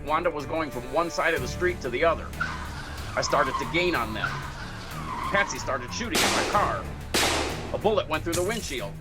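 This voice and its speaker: A man's voice: fairly high pitch, expressive, normal speed, pauses often, loud music behind the voice, natural voice. A man playing a hard-boiled 1940s private detective, narrating his case in a matter-of-fact, clipped radio noir style.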